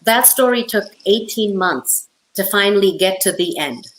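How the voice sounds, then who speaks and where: A woman's voice: mid-range voice, expressive, normal speed, a little hiss or hum. Echoey middle-aged woman, emphatic storyteller. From Hong Kong. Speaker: interview guest, fiction author. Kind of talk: conversational interview, personal storytelling. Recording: roomy, echoey sound.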